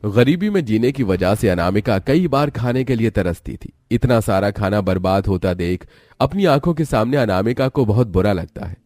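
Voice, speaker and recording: A male narrator telling a scripted story at an ordinary mid pitch. His intonation is animated, rising and falling widely, and the recording is close and dry. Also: moderate pace, no echo.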